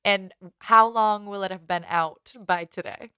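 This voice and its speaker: A woman co-hosts a casual podcast. Her voice is clean and resonant at a middling pitch. The sound is dry and close, with her talk kept conversational. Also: measured pace, bright, forceful tone, clear, resonant tone, dry recording. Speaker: podcast co-host. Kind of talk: casual podcast chat and sign-off.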